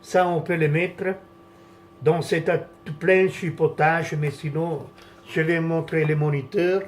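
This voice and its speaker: A man whose first language is Italian walks through a camera monitor setup at an unhurried, halting pace. His voice sits a little high for a man. He stops and restarts often and searches for words as he goes. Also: steady tone. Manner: hesitant, halting, many fillers and restarts. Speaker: vlogger reviewing camera gear. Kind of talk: casual product tutorial.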